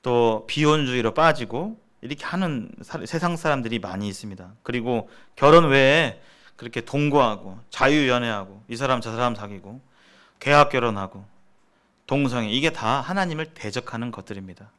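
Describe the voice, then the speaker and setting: A man preaching and teaching at a church seminar in an earnest, emphatic, exhorting way, repeating key words deliberately. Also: mid-range voice, a bit bright.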